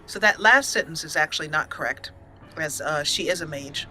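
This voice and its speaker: A woman's voice: sits low, normal speed, sharp tone. A woman hosting a let's play, switching between storytelling narration and commentary on her roleplay game.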